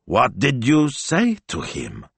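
A man voicing an excitable, anxious shopkeeper with a flustered, urgent, over-polite way of talking in an audiobook. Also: medium pitch, slow, creaky, husky, no echo, pitched above the performer's own voice, exaggerated voice. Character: excitable, anxious, fussy male Italian shop owner.

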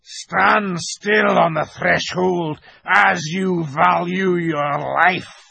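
A man's voice: sits high, fairly even intonation, drawn-out words, creaky, sharp tone, slightly rough, voice pushed up, exaggerated voice. A man voicing a huge, threatening wolf with a growling, commanding voice in an audiobook story. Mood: stern warning. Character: huge menacing wolf, chief of secret police. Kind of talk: audiobook character voice.

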